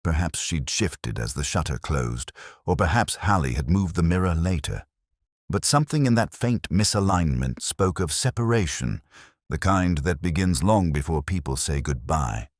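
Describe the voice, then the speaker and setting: A man narrating a detective audio drama in the first person as a weary, introspective inspector, with a measured, literary and wry manner. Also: low-pitched, lively intonation, no room sound, clean studio sound. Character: weary, introspective male English police inspector, middle-aged.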